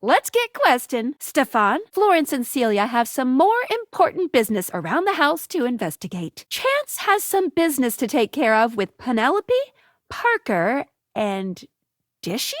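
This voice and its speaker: The chirpy, wisecracking companion who hands out quests in a dating video game, swooping melodically, recorded close and dry. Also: female voice, high-pitched, normal speed, no room sound. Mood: brisk, helpful. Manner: playful, punning, animated. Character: perky, quippy, helpful female guide to dateable household objects. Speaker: voice actress playing a game guide character. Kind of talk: scripted video game character dialogue.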